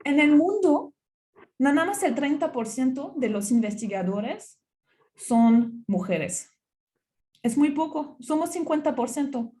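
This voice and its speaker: A woman's voice: high voice, lively intonation, takes it slowly, dark tone. A middle-aged woman from France with a French accent gives a conference talk as a university researcher, with many fillers and restarts.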